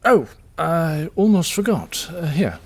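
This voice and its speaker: An actor playing a middle-aged father and troubled leader, tender and apologetic, speaking hesitantly with gentle wryness in an audio drama. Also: fairly high pitch, sing-song, slow pace, husky, exaggerated voice. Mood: wry, troubled.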